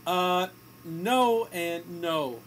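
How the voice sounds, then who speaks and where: A man's voice: sits high, drawn-out words, fairly bright tone. A man co-hosting a radio show, giving casual movie opinions in a blunt, dismissive way. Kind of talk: casual movie review banter. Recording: faintly noisy amateur recording.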